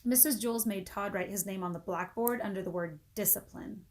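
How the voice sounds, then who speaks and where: A woman narrates a children's book aloud. Her mid-pitched voice carries the story expressively, in the manner of a read-aloud for pupils. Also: a little fast, a little mellow. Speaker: storybook reader.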